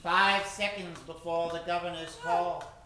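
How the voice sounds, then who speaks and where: A man hosts and narrates an old time radio drama. His delivery is slow, halting and suspenseful. The room echoes heavily, with clear noise behind him. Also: on the high side, flat delivery. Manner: theatrical, suspenseful, halting phrasing. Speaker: radio show host and narrator.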